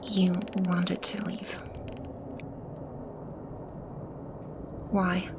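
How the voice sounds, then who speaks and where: ASMR roleplay: gentle, protective she-demon, played by a woman. Unhurried, breathy, fry-edged, whispery and reassuring. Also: on the low side, monotone, heavy background noise. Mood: concerned, guarded. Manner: soft, unhurried, soothing reassurance. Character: gentle protective female demon sheltering a lost child. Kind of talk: ASMR roleplay, soft-spoken with whispery passages. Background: ambient sound effects.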